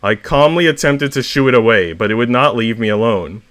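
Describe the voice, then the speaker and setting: A man hosting an expressive read-aloud of short stories. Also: mid-range voice, fairly quick.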